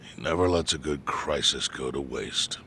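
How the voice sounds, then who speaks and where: A man voicing a calm, all-knowing clan chairman who speaks in a measured, grave way. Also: a bass voice, slight background noise, full character voice.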